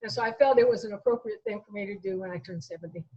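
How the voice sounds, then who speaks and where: A woman's voice: mid-range voice, racing, dark tone, muffled recording. An American woman in her sixties talking conversationally as an interview guest, telling her own story. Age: 60s.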